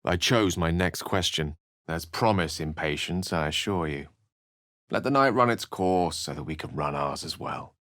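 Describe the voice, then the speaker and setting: A man's voice: sits low, lively intonation, moderate pace, a bit bright, dry recording, clean studio sound. A man playing a refined, eloquent wizard in a video game, courteous and wry, with articulate, formal and theatrical speech. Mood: measured, grave. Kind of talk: video game voice lines and narration.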